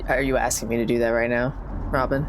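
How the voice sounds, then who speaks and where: A woman's voice: extremely low pitch, flat delivery, quick pace, noisy background. A woman playing a tabletop roleplaying game, chatting informally and thinking aloud as she goes. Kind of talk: casual in-game chat.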